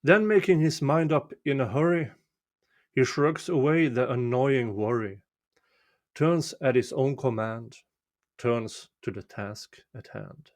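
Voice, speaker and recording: A man reading a folk poem aloud as a storyteller, measured and deliberate, pausing between short phrases. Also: medium pitch. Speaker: amateur reader of a poem. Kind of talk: slow, dramatic storytelling recitation of a winter folk poem.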